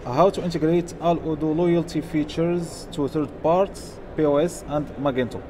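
A man's voice: a little high, steady tone, normal speed. A man moderating a conference session, reading audience questions out from the stage. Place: on stage in a large conference hall. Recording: clear background noise, live event.

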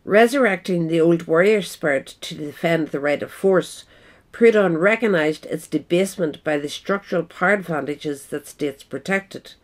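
A man reading a scripted essay in a measured, formal manner. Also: on the high side. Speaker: reader.

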